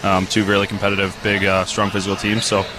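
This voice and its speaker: A man in his twenties, a pro athlete, answering casually and haltingly with many you-knows. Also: lowish voice, monotone. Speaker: professional hockey player being interviewed. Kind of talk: casual sports interview. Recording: noisy arena interview.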